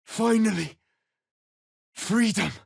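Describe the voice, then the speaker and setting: A man playing a proud, solemn alien warrior prince in a fantasy video game, speaking in a formal, commanding, deliberate way. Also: high voice, vocal fry, darkish tone, breathy edge, no room sound, clean studio sound, heavily acted. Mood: fierce, rousing. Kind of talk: dramatic fantasy game acting.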